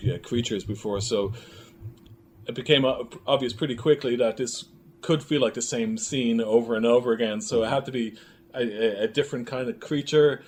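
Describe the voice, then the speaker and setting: A man being interviewed as a filmmaker, chatting casually about his work in a halting, thinking-aloud way. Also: medium pitch, darkish tone. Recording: clearly noisy room recording.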